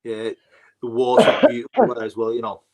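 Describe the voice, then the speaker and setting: A man's voice: steady tone, fairly quick, a little mellow, poor audio. Joking man from Cheshire. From Cheshire, England. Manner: joking, bursts into high laughter. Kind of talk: casual banter.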